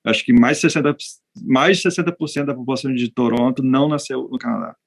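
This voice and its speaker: A Brazilian veterinarian answering interview questions in a relaxed, hesitant way, with frequent restarts. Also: male voice, mid-range voice, fast, gravelly. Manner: hesitant, many restarts and fillers. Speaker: veterinarian guest. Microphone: video call.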